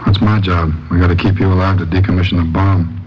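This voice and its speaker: An actor playing a calm, authoritative police officer with a businesslike manner in an old-time radio crime drama. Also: male voice, deep voice, highs cut off, close to an everyday voice. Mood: wry. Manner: businesslike, measured wording, authoritative. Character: calm, firm male police officer negotiating with a criminal. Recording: noisy old radio broadcast recording.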